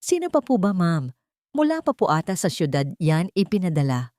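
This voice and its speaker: Respectful elderly nanny voiced by a narrator. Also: female voice, on the low side, big pitch swings, normal speed, darkish tone, no room sound, clean studio sound. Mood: kindly teasing. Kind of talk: story character dialogue.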